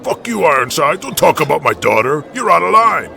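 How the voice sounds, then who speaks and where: A man's voice: a little high, big pitch swings, brisk, creaky, sharp tone, slight background noise, pitched above the performer's own voice, exaggerated voice. A man voicing an angry middle-aged wrestling promoter in an audio drama, defensive, heated and profane. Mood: furious.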